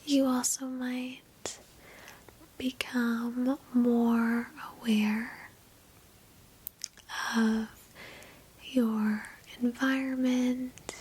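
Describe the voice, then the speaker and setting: A woman whispers a guided meditation in ASMR style, softly and breathily, with a gentle, soothing, reassuring manner. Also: a little high, little pitch movement, very slow, long pauses, slightly rough, low-quality recording. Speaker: ASMR host guiding a meditation. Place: indoors, sitting on a bed.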